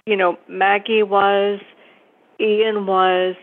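A woman's voice: mid-range voice, little pitch movement, very slow. A woman in a book club sharing an earnest, reflective view. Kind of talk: casual group chat. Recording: tinny phone-like line.

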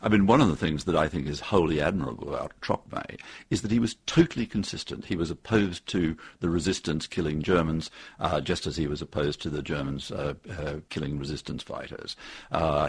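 An older man telling historical anecdotes in an interview, in a halting but emphatic, conversational way. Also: rumbling low, breathy edge. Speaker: author interviewed about his history book.

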